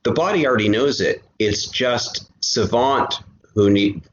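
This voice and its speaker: A man speaking as an interview guest, explaining things casually in a halting way with repeated words. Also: mid-range voice. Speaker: guest, audio drama creator and musician. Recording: roomy, dull, noisy remote connection.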